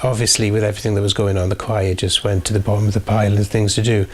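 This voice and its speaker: Low-voiced Welsh man with a head cold. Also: little pitch movement, quick pace, slight background noise. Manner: self-mocking, wry. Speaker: choir member. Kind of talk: casual interview.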